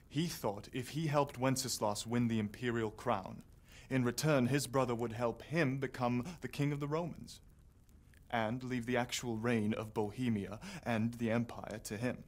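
An actor playing a composed council member who calmly reasons through politics. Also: male voice, mid-range voice, close to an everyday voice. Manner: calm, analytical.

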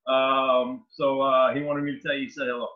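A man in his thirties hosting an interview series over a video call, friendly and affirming with halting, repeated phrasing. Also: mid-range voice, flat delivery. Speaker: interview host. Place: indoor room. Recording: dull lo-fi webcam audio.

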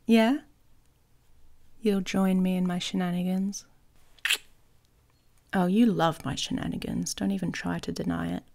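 A woman playing a smug, playful young werewolf pack leader in an intimate ASMR romance roleplay, teasing and often slipping into a breathy whisper. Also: lowish voice, steady tone, measured pace, pauses often, background noise. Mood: playful, scheming.

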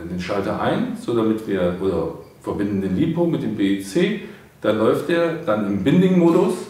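A man's voice: mid-range voice, dark tone. A middle-aged man reviewing a product at a studio desk, casually walking viewers through it step by step. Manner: informal, explains step by step to viewers. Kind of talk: casual product demo for hobbyists. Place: indoor studio desk with painted backdrop. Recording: very echoey room, mic far off.

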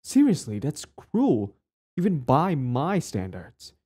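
A man's voice: medium pitch, huge pitch swings, slow, warm tone, slightly rough, full character voice. A young man acting a possessive, lovestruck bully in an intimate boyfriend audio roleplay, speaking earnestly with hesitant stammers. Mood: hurt, indignant.

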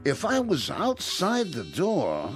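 A dim, chatty jailbird in a radio drama. His voice is fairly high and slow, swooping extravagantly in pitch, with a folksy, ingratiating manner. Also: huge pitch swings, faint music behind, heavily acted. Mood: puzzled. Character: dim, affable male escaped-convict brother, naive and chatty.

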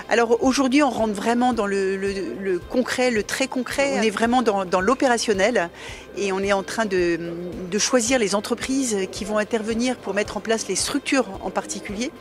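Woman with a handheld mic, fairly high voice, music behind. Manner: measured, many hesitations and restarts. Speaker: organizing committee director. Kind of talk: on-camera interview. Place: outdoors at a ski event.

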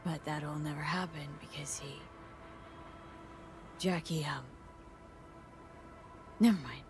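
Tough young merc lady for a game, lowish voice, slangy n wry, music in the back. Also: steady tone, takes it slowly, a little mellow. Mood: subdued, awkward. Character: tough, streetwise young female mercenary, wry and guarded. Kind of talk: naturalistic video game voice acting.

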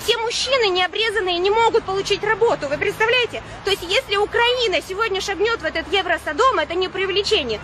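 A woman in her forties, born in Ukraine and raised in Russia, giving an urgent, insistent speech at a street picket and often pausing on drawn-out "uh" fillers. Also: very high-pitched, a crowd in the background. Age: 40s. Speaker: protester at a picket. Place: outdoor street picket beside traffic.

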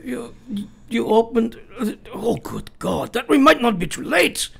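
A grave male professor played by a woman in a radio drama, eccentric and emphatic. The voice is gravelly and swings widely in pitch. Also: very animated, full character voice. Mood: anguished. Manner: solemn, deliberate, emphatic.

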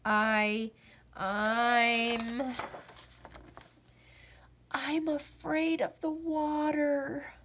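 A woman's voice: sits high, flat delivery, very slow, long pauses, fairly bright tone, a little hiss or hum, heavily acted. A woman voicing an anxious, earnest little boy frog from a picture book, hesitant and confiding. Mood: reluctant, nervous. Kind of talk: children's picture-book read-aloud.

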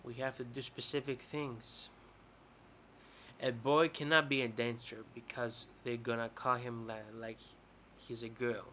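A man's voice: medium pitch, little pitch movement, measured pace, sharp tone, a little hiss or hum. Young Greek-accented man ranting to webcam. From Greece. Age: young adult. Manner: rambling, repeats and restarts words. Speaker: vlogger. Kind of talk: casual rant to camera. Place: bright indoor room. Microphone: webcam close up.

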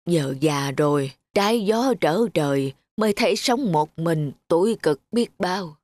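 A woman playing a kindly, lonely elderly grandmother in a cartoon, speaking in a gentle, sentimental manner. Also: lowish voice, lively intonation, a little slow, no room sound. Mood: wistful. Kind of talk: scripted cartoon dubbing. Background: background music.

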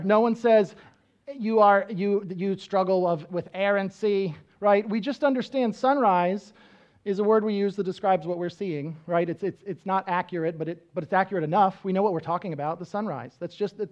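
High-voiced man preaching in conversational style. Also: highs cut off. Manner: conversational teaching, frequent "right?" check-ins; walks around the stage gesturing. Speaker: pastor. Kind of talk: sermon. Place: church stage with band instruments.

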